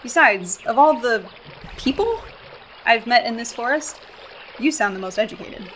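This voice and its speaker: Young woman, American accent, sarcastic, incredulous, fairly high pitch, radio drama, background ambience. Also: animated, moderate pace, a bit bright, a little hiss or hum. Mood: wry. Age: young adult. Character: sarcastic, exasperated young human woman hiker. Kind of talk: radio play acting.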